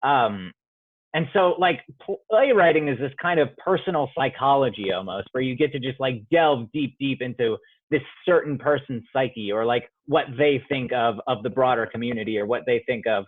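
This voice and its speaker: A man's voice: medium pitch, moderate pace, no room sound. A male playwright answering interview questions thoughtfully, often restarting as he searches for words, heard over a video call. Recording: dry tinny phone-like audio.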